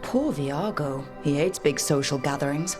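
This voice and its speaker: An actress playing a resolute, wry adventurer hero in a video game, speaking in a direct, conversational way. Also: female voice, low voice, moderate pace, close to an everyday voice. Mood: wry resolve. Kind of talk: video game cutscene acting. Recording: music behind the voice.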